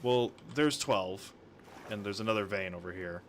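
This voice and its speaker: A man chatting casually with friends while playing a game, jokey and quick to chuckle over a noisy voice chat. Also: lowish voice. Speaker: gamer playing Minecraft with friends.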